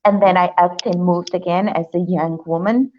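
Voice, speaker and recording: A woman's voice: fairly low pitch, steady tone, normal speed, muffled recording. A young woman from Africa, raised in Portugal, speaking natively, reflecting earnestly as a guest in a testimonial interview. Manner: earnest, reflective, with many restarts. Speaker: program client, guest.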